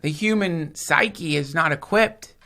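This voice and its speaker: A man's voice: fairly high pitch, slow, sharp tone. A man from the United States, a podcast host, rambling in casual chat with profanity and emphatic points. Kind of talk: casual conversation.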